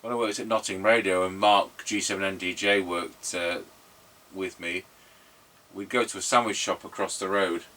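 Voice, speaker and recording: Middle aged bloke rambling through stories on a radio test, bit low voiced, mic sounds far away. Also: male voice, steady tone, normal speed, bright, forceful tone. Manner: rambling, anecdotal. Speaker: radio repairer and presenter. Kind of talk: casual chat and radio test commentary. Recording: noisy, distant microphone.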